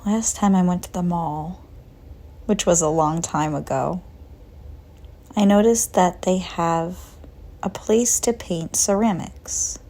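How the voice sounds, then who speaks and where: Very slow, soft woman guiding meditation. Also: fairly low pitch. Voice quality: soft, breathy, often near a whisper. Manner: calm, gentle, unhurried with long pauses. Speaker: guided meditation narrator. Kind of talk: slow guided visualization, soothing scripted narration.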